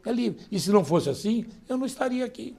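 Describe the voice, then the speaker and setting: An older Brazilian man, a veteran journalist, talking with a lively, conversational manner in a TV interview. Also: very high-pitched, fast, creaky, slight background noise. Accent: Brazilian Portuguese.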